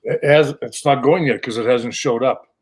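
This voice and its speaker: A man's voice: mid-range voice, moderate pace, slightly rough. A man, a guest in a casual chat, talking in an easygoing way over a video call.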